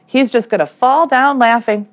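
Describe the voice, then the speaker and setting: Woman reading as a high-pitched teasing sister. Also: moderate pace, natural voice. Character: teasing, know-it-all sister of a young boy.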